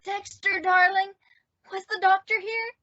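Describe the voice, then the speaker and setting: A woman's voice: extremely high pitch, flat delivery, a little slow, heavy background noise. A woman playing an earnest, dramatic, lovestruck teenage girl in an old-time radio comedy, with emphatic delivery. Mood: anguished, pleading. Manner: emphatic, pleading girlish delivery. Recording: dull, band-limited dry audio.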